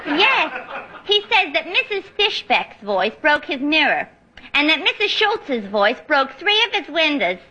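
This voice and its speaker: A woman's voice: high-pitched, big pitch swings, unhurried, pushed and bright, slight background noise, heavily acted, poor audio. An actress playing a naive, brassy young woman in a 1950s radio comedy, with a dim, sing-song delivery.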